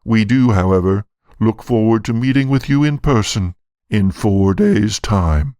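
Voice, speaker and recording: A man's voice: on the low side, a little mellow, no echo, clean studio sound. A man playing a courteous, solemn attorney reading out a formal condolence letter in an audio drama. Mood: solemn formality. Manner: formal, measured. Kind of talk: scripted in-character letter reading.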